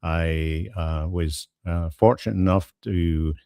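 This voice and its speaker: A middle-aged or older man with a Scottish accent, talking politely and with measured pauses as an interview guest. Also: low-pitched, steady tone, creaky, mellow, no echo, studio quality. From Scotland. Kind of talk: conversational personal interview.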